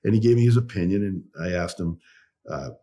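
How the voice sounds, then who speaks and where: A man's voice: sits low, a little fast, dark tone. A middle-aged man with a Boston accent, a guest telling his story in a chatty interview, full of asides. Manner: chatty, tells stories with quoted dialogue. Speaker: guest, company phone coach.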